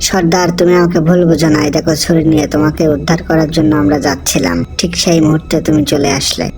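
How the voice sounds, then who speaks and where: A woman voicing an eager, flattering bandit henchman in a cartoon, with music behind. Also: deep voice, fast talker. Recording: cartoon dub with loud music bed.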